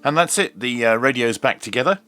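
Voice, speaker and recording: A man's voice: medium pitch, animated, a little fast, loud music behind the voice. A man presenting an unscripted, hands-on tutorial, speaking in hesitant, piecemeal phrases with frequent ums. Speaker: presenter of radio review and repair videos.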